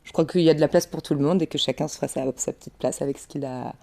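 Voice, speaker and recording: A woman's voice: deep voice, racing, warm tone. A young woman comedian, speaking casually as an interview guest, often hesitating and repeating words as she corrects herself. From Paris region, France. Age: young adult. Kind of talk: casual spontaneous interview talk.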